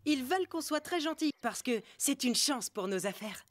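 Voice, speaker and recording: A woman's voice: high voice, expressive, normal speed, no echo. A woman voicing a bossy youngster from a ranch-owning family in a children's cartoon. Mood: informative, bossy. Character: bossy youngster whose parents own the ranch. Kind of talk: animated children's series dub.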